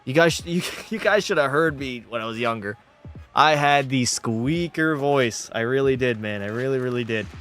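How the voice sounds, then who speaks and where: A man's voice: mid-range voice, normal speed, fairly bright tone, soft background music. A young man from Canada, casually chatting and swearing while live-streaming a hockey game and answering chat. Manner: loose, profane banter, reading chat aloud. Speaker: gaming streamer.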